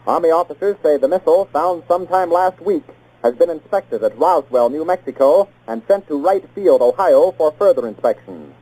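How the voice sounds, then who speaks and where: A man's voice: a little high, expressive, moderate pace, warm tone. An American man anchoring a scripted 1940s radio newscast in a formal, clipped announcer's delivery. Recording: muffled 1940s radio broadcast.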